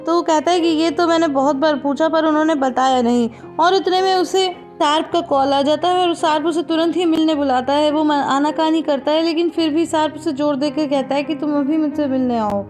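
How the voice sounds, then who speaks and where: A high-pitched woman narrates a plot recap in a chatty, conversational way, turning now and then to speak straight to her viewers. Clear music plays behind her voice throughout. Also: fairly even intonation, brisk, few pauses, a little mellow. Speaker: drama recap host. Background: background music.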